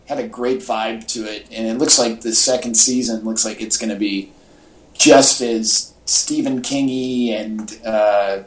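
A man's voice: fairly low pitch, steady tone, unhurried. Echoey man chatting about pop culture. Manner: informal, enthusiastic fan talk. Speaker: podcast co-host. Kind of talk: casual pop-culture chat. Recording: echoey room podcast audio.

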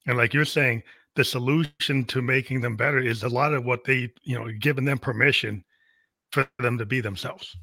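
A man's voice: medium pitch, steady tone, normal speed, sharp tone, dry recording. A man hosting an interview as a music producer, talking in an earnest, reflective way. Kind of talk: casual interview chat.

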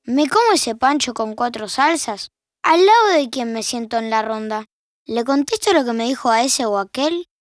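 An Argentine actress playing the wry, all-knowing narrator of a scripted domestic audio drama. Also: female voice, fairly high pitch, lively intonation, normal speed, dry recording, natural voice. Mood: wry, observant. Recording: music bed behind a dry studio voice.

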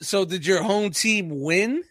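A man's voice: sits high, slow, a bit bright. A man co-hosting a podcast, joking around with playful wordplay in casual chat. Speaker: podcast co-host.

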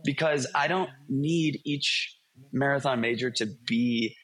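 A man talking casually as a podcast guest, animated and telling stories, quoting himself as he goes. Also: mid-range voice, unhurried, slightly rough, rough recording. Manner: animated storyteller, quotes himself. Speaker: podcast guest, running YouTuber. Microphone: remote call.

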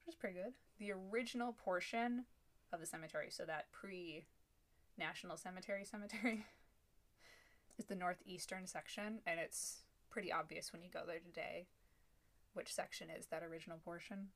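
A woman's voice: lowish voice, moderate pace. A young adult woman co-hosting a casual podcast, recounting history from her notes with small restarts and asides. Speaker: podcast co-host. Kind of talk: casual chat, then telling a researched history.

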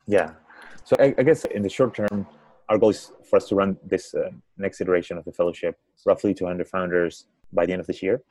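A man's voice: low-pitched, fairly even intonation, a little fast, darkish tone, dry recording, low-quality recording. A man with a Spanish accent, a startup founder answering podcast questions thoughtfully and often restarting his words. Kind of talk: conversational interview answers.

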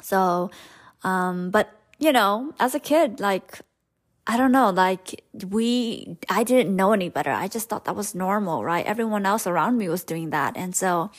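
Woman, young adult, Hmong first language, podcast host, candid rambling monologue, lots of fillers, middle pitch. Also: lively intonation, measured pace. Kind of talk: personal storytelling monologue.

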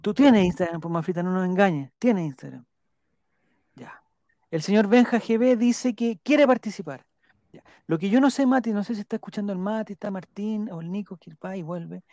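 A live stream host with a Chilean accent talks casually in a dry, close sound. The delivery is playful and rambling, with viewer comments read aloud and names repeated slowly back. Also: medium pitch, warm tone, no room sound. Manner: rambling, repeats names while jotting them down; playful, joking.

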